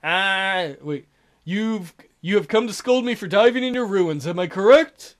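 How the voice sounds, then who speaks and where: A man voicing a smug, scheming male relic dealer who talks in a slow, mock-polite drawl. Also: sits high. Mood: smug menacing.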